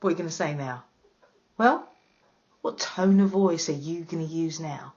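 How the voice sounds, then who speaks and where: An actress playing a cold, controlling woman who taunts slowly and then drops to a hush. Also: low-pitched, lo-fi sound. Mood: taunting.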